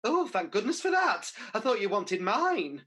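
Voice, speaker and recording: A husky pantomime mother, acted by a woman, vain and flirtatious. She gushes theatrically, with her pitch swinging widely in radio-play style. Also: very animated, normal speed, fairly bright tone. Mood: sheepish.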